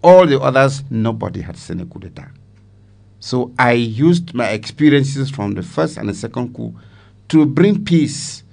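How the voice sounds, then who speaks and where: Gravelly older Cameroonian man recounting memories. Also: medium pitch, animated, unhurried, darkish tone. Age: older, 70s or more. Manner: emphatic, repeats phrases for weight. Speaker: retired army commander, interviewee.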